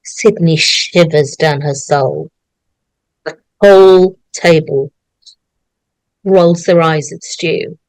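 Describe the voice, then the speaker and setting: A woman's voice: deep voice, takes it slowly, pauses often, darkish tone, no echo, rough recording. A woman narrating, reading out the stage directions of a screenplay at a table read.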